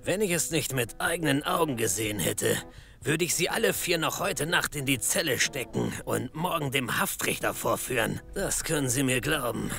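Stern gravelly police captain, exasperated, very sharp voice, comedy audio drama. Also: male voice, mid-range voice, expressive, normal speed, faint music behind. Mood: grudging. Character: gruff, skeptical male police captain.

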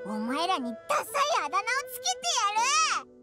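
A woman voicing a small childlike fairy companion in an anime game, squeaky, brash and easily offended. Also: huge pitch swings, quick pace. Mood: indignant. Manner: brash, exclamatory, refers to self cutely. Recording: studio voice over background music.

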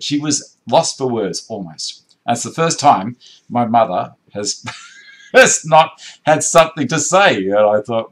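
An Australian man in his fifties hosting a live stream from his workshop, reading out viewer comments in a chatty, gesturing way with frequent restarts, recorded on a webcam at desk distance. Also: on the low side. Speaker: woodworking channel host. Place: indoor workshop with tool racks.

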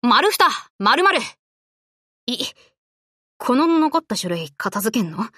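An actress voicing a brash, cocky but loyal young tomboy warship girl in an anime game, with rough, boyish, casual speech. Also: fairly high pitch, very animated, takes it slowly, bright, forceful tone, no echo, studio quality, exaggerated voice. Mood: easygoing. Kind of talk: Japanese anime game character voice lines.

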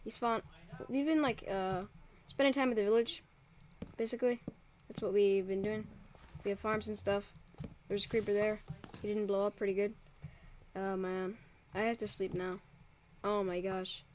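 A man's voice: sits high, little pitch movement, normal speed, pauses often, a little mellow, slight background noise. A young man, probably a teen, casually commentating a Minecraft let's play as server host, rambling and easily startled. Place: home room by a window. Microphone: desk mic, drifts off it.

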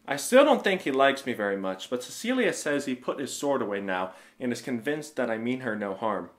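A man's voice: medium pitch, big pitch swings, brisk, natural voice. A man playing a zealous, newly converted young Roman husband in an audio drama, earnest and emphatic. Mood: awed, sheepish.

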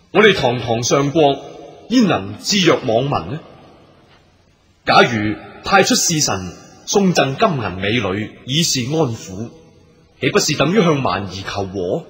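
An actor playing an earnest, righteous imperial minister in a radio drama, formal and declamatory. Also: male voice, medium pitch, a bit bright. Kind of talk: Cantonese radio drama acting. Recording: long hall reverb.

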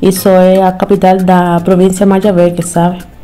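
A woman's voice: on the low side, completely flat delivery, a little slow, mellow, soft background music. A young Cuban woman vlogging casually to a phone camera, speaking careful learner's Portuguese with a Spanish accent. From Cuba. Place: small room at home, indoors.

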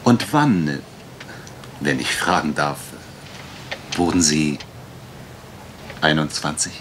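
A man's voice: extremely low pitch, animated, takes it slowly, a bit bright, slight background noise, full character voice. A man acting a gallant, slightly bumbling private detective in a German radio crime drama, with theatrical, hesitant flourishes. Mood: courteous, probing.

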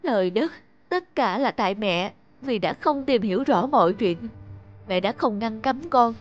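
A woman playing a stern but loving peasant mother in a children's cartoon, dramatic and expressive. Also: a little high, moderate pace, lo-fi sound, a little hiss or hum, lightly acted. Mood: tender, regretful.

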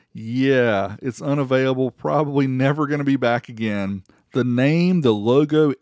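A man's voice: medium pitch, a little mellow, no room sound, studio quality. A man narrating a casual product review in a conversational, folksy way. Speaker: host of an amplifier review channel.

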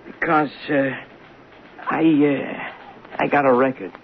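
A man's voice: medium pitch, fairly even intonation, slow pace, gravelly. An actor playing a nervous, pleading working-class barman who stammers defensively in a 1970s radio drama. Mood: nervous, evasive. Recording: tinny, hissy 1970s radio recording.

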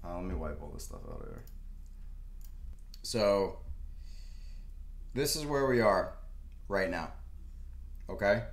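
A man's voice: sits low, lively intonation, takes it slowly, long pauses, reverberant. A young man hosting a casual market video, talking through charts at his desk in a loose, rambling way with lots of fillers. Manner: rambling, filler-heavy, laughs to himself. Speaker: stock market video host. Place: room at a desk, in front of a screen.

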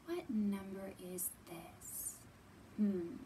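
A woman in her thirties presenting a children's storytime as a librarian, talking simply to small children with drawn-out words and thoughtful hums. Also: medium pitch, expressive, dark tone, a little hiss or hum. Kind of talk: children's storytime teaching, read-aloud. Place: indoors in a library.

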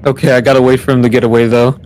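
A man's voice: mid-range voice, level tone, rapid-fire, a little mellow, a little hiss or hum, low-quality recording. A man chatting casually as a fellow player in a multiplayer game. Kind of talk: casual multiplayer gaming chat.

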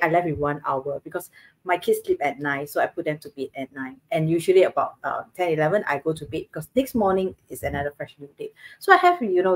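A woman's voice: sits low, poor audio. Earnest Singaporean woman explaining over video call. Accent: Singaporean English. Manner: earnest, explanatory, many fillers. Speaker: co-host. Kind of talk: casual live-stream chat. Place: home living room, indoors, video call. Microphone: webcam on video call.

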